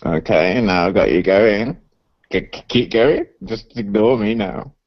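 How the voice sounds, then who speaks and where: A man chatting on a video call, teasing and quick with his remarks. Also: lowish voice, animated, no room sound, lo-fi sound. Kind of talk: casual chat on internet radio.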